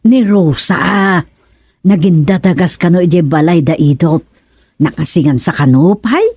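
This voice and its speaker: Woman playing a mellow, gossipy village wife. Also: fairly low pitch, a little slow, husky, no room sound. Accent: Filipino. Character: gossipy, suspicious village wife talking to her husband. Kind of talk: comedic radio drama acting.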